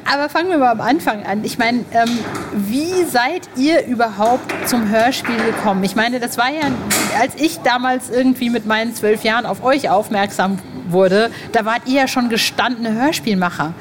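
A woman's voice: a little high, normal speed, few pauses. A middle-aged woman hosting a casual interview, chatting in an enthusiastic, slangy way. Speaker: podcast host, interviewer. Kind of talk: casual, informal interview chat. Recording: clearly noisy background.

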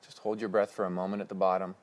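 A man leading a guided breathing meditation with slow, calm, instructive cues. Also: deep voice.

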